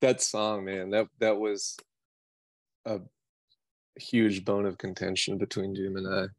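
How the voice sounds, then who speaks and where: A man's voice: deep voice, flat delivery, slow pace, dry recording. An American man from New York City, a singer-songwriter chatting casually in an interview in a rambling, slangy, self-deprecating way. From New York City, United States.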